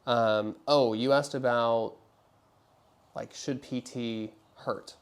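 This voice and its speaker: Male physical therapist, medium pitch, casual explanatory consultation style, reassuring and checking understanding. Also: steady tone, slow, clean tone.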